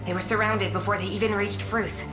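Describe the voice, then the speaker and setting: A woman's voice: mid-range voice, steady tone, brisk, loud music behind the voice, lightly acted. Actress as an echoey, earnest loyal woman scout. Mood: urgent, earnest. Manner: formal, earnest address. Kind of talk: video game cutscene voice acting.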